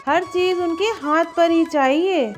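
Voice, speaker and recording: A work-shy, complaining village wife from a cartoon moral story, played by a woman. Her voice is very high and plaintive, with music underneath. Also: measured pace.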